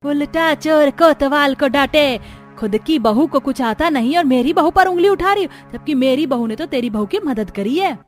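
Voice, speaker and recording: A woman playing a middle-aged cartoon mother-in-law with a high voice. She is proud and quarrelsome, scolding everyone with indignant emphasis. Music plays behind her. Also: lively intonation, moderate pace. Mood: angry, scolding. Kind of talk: Hindi cartoon story dialogue.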